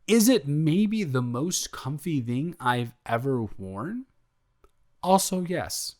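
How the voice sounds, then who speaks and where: A young man playing a sheepish, playfully defensive roommate in an improvised romantic audio roleplay, talking casually in halting, self-interrupting rambles and answering his own questions. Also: on the high side, very animated, darkish tone. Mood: bashful, teasing.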